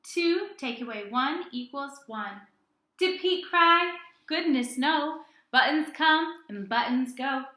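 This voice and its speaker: A woman teacher reading aloud to young children in a deliberate, sing-song way, asking questions and answering them herself. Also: sits high, expressive, bright, forceful tone, echoey room. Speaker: early-grades teacher.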